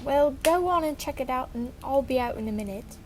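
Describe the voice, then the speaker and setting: A teenage girl playing the brisk, bossy mother of a poor family in a school stage play. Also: high-pitched, expressive, darkish tone, a little hiss or hum. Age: teens.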